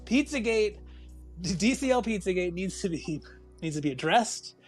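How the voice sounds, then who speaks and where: Joking American man, bit high. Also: animated, soft background music. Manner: joking, teasing, quick asides. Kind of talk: casual chat.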